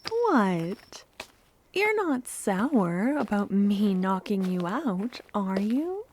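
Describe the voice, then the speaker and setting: A woman playing a loving, obsessive cultist kidnapper in a soft-spoken ASMR roleplay, sweetly menacing, breathy and often whispering. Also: on the high side, a little hiss or hum. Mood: coaxing, chiding. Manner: slow, tender, intimate coaxing. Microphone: close ASMR microphone.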